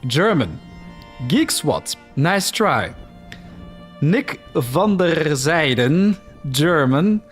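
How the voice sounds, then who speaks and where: Man, Dutch-Flemish accent, game streamer, lively up-and-down delivery, faint background music. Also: a little high, drawn-out words, pauses often. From Netherlands. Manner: chatty, reacting to the game while reading viewer comments. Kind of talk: live gameplay commentary.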